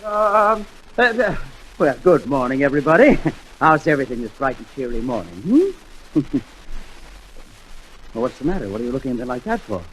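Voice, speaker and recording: An actor playing a sheepish, hemming, blustering middle-aged husband in a 1940s radio comedy. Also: male voice, medium pitch, animated, normal speed, long pauses, fairly bright tone, natural voice. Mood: nervously jovial. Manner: hemming, nervously jovial bluster. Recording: faintly noisy 1940s radio recording.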